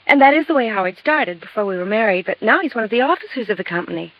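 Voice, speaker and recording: An actress playing an anxious, chatty married woman in her late twenties, earnest and quick to explain, in 1950s radio drama style. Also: medium pitch, animated, lightly acted. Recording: dull vintage radio recording.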